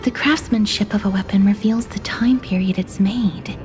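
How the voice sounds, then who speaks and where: An actress playing a composed, observant travelling companion in a video game, polite, measured and formal. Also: female voice, medium pitch, steady tone, music in the background, natural voice. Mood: polite, cautious.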